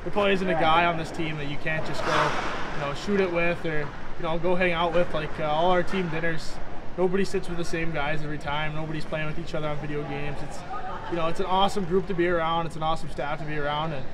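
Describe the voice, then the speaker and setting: Fast-talking young goalie, noisy arena. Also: male voice, fairly high pitch, fairly even intonation. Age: young adult. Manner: fast, upbeat, many "you know" fillers. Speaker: hockey goaltender. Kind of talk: postgame press interview. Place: arena interview backdrop, indoors.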